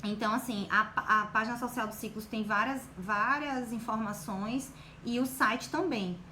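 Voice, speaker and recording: A woman's voice: fairly high pitch, moderate pace. Encouraging Bahian woman on a live stream. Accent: Bahian Portuguese. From Bahia, Brazil. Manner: warm, encouraging, full of fillers like "né" and "tá". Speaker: guest on a live stream about exam preparation. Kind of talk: casual motivational talk to a live audience. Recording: echoey room with faint background noise.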